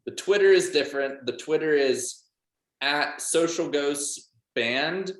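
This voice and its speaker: A man's voice: on the high side, takes it slowly. A young man chatting informally and jokingly as an interview guest, with roomy echo on his voice. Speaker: band member guest. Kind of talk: casual chat.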